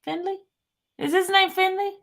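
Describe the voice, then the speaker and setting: A woman hosting a casual livestream chat, reading viewer comments aloud in an animated way. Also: extremely high pitch, moderate pace. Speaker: livestream host.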